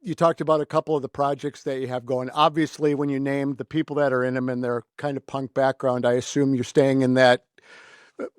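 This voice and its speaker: A man's voice: mid-range voice, level tone, brisk, clear, resonant tone, no echo, clean studio sound. A middle-aged man hosting a casual interview in a chatty, rambling way. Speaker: podcast host.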